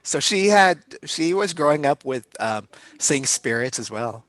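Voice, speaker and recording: A man with a California accent, a guest on a talk show, speaking softly and laughing easily. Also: fairly high pitch, lively intonation, a little slow, dry recording. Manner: soft-spoken, laughs often. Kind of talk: casual interview chat.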